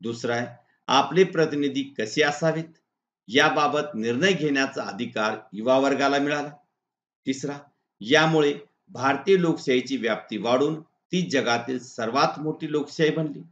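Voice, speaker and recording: A man with a Maharashtrian accent, a teacher reading out lesson answers point by point in a deliberate, instructional way. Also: mid-range voice, a bit bright. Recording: echoey room, dull lo-fi sound.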